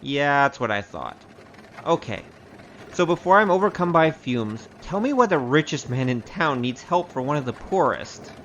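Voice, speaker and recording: A man's voice: medium pitch, lively intonation, normal speed, fairly bright tone, a little hiss or hum. A male actor playing a roguish, wry storyteller with a grandiose, theatrical manner in a comic audio drama. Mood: wry bargaining. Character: roguish, boastful storyteller, wry poor adventurer. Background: music.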